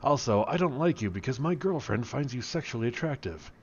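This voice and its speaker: A man's voice: mid-range voice, lively intonation, a little fast, muffled recording, a little hiss or hum, close to an everyday voice. Man playing a smug, long-winded villain boss.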